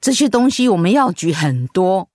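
A woman's voice: on the low side, big pitch swings, normal speed, a little mellow, dry recording, studio quality. A woman playing an elderly, firm but generous mother-in-law in a radio drama, speaking plainly. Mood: explaining. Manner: scolding, plain-spoken.

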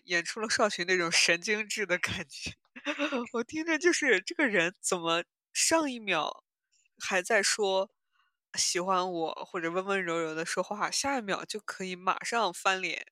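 Female podcast host, bright clear-cut tone, conversational and musing, short phrases, recorded dry. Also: fairly low pitch, no echo. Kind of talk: casual chat reviewing audio dramas.

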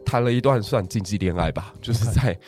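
A man, a guest history teacher, telling stories in lively casual podcast chat and laughing often. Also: lowish voice, a little fast, faint music behind. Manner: lively storytelling with frequent laughs.

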